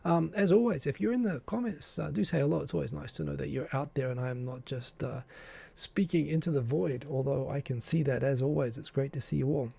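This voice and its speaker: An Australian man hosts a relaxed live stream, greeting viewers from the chat and poking fun at his own tech troubles. His voice is deep-grained and gravelly, with a dark, mellow tone and a husky edge. He sits close to a desk microphone. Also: brisk. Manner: chatty, self-deprecating, greets viewers from chat. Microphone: desk microphone in front of him.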